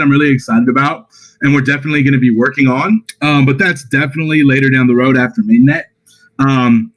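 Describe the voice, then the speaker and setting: A tired man with a slightly raspy voice, reading out community questions on a voice call and answering them casually with plenty of fillers. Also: on the high side, rough recording. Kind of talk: casual Q&A on a voice chat.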